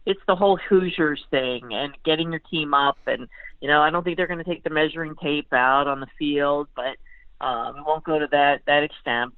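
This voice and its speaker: A woman's voice: sits low, dry recording. A tinny, narrow phone connection carries a woman's voice, close and dry. She is a sports writer guesting on a talk show, chatting casually and working out her opinions aloud, often qualifying them as she goes. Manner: chatty, hedging, thinking aloud. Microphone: phone call. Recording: tinny, band-limited phone line.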